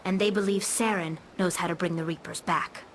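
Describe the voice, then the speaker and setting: A woman's voice: lowish voice, fairly even intonation, brisk, a little hiss or hum, natural voice. A young actress playing an earnest alien engineer in a video game, patiently explaining her people's ways. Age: young adult.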